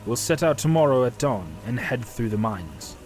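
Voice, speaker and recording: A serious, weary half-elf hero, acted out by a male narrator in a fantasy audio tale. His mid-pitched voice is earnest and grave, over soft music. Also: lively intonation, moderate pace, close to an everyday voice. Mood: solemn, resolute.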